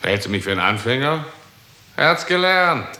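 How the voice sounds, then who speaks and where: A man's voice: mid-range voice, sing-song, moderate pace, bright, forceful tone, exaggerated voice. An actor playing a brutal young policeman in a 1960s German radio drama, mocking, swaggering and barking. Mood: smug. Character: brutal, jeering young policeman who enjoys his dirty work. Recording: noisy, echoey 1960s radio recording.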